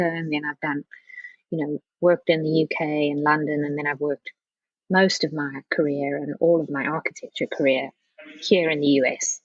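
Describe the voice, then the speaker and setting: A woman from South Africa speaking as an interview guest in a casual, halting way, often circling back with "you know". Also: deep voice, dry recording, highs cut off. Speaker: podcast guest, architecture professional.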